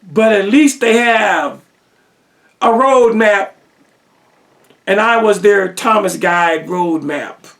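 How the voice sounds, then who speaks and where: A man's voice: sits high, slow pace, vocal fry, long pauses, a bit bright, recorded from a distance. A man giving a lecture-style religious teaching monologue, pressing his points with rhetorical questions and repetition.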